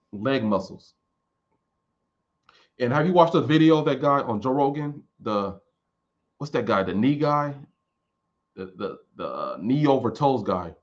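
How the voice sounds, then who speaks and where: A man's voice: on the low side, fairly bright tone, muffled recording. Rambling man in his late thirties. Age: 30s. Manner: loose, rambling, frequent restarts. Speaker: YouTube remix producer answering viewers. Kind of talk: casual live chat.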